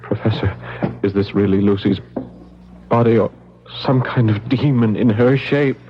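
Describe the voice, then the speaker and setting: An actor playing an anguished, faltering young fiancé in a 1970s radio horror drama, halting and emotional. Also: male voice, fairly low pitch, natural voice. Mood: uneasy. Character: anguished, faltering young fiancé facing his undead beloved. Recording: dull noisy 1970s radio broadcast.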